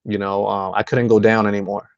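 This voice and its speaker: A man's voice: lowish voice, flat delivery, fairly quick, no echo, muffled recording. A man from the Dominican Republic hosting a motivational video call, talking in a rambling way with many fillers and restarts. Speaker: host.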